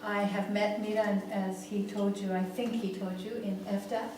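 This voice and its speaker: A woman's voice: mid-range voice, flat delivery, normal speed. A woman in her sixties, a native Greek speaker with a Greek accent, leading a family therapy seminar in a hall. She lectures with many "um"s and checks in with "yeah?". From Greece. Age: 60s. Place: seminar room. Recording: very echoey room, far from mic, noisy.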